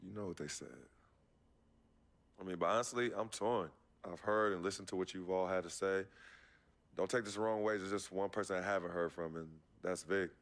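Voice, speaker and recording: A young, laid-back basketball star in a game cutscene. His voice is fairly low, and he talks casually with slang, like someone chatting with family. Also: fairly even intonation, a little fast. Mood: torn, sincere. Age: young adult. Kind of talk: cinematic sports game dialogue.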